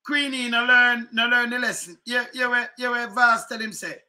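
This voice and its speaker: A middle-aged man with a Jamaican accent giving casual, animated political commentary to his webcam from home, repeating his points. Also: extremely high pitch, normal speed. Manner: animated, chuckling, repeats phrases, gestures a lot. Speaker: online commentary host. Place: home room, indoors. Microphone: webcam at desk.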